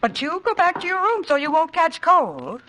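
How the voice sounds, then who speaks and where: A domineering niece in an old radio horror play. The voice is high and very animated, sugary on the surface and scolding underneath. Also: female voice, moderate pace, exaggerated voice, poor audio. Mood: bossy. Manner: bossy, sharp, questioning.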